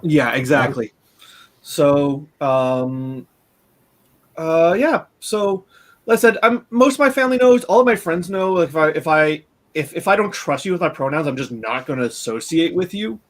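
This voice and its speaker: Candid, halting man in his late thirties. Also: fairly high pitch, expressive. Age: late 30s. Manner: candid, halting with frequent fillers and restarts. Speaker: panelist. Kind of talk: casual conversation.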